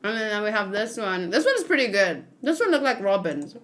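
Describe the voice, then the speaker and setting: A woman hosting a read-along in a casual, expressive and giggly reaction style. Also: medium pitch, moderate pace. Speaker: host of a manga read-along.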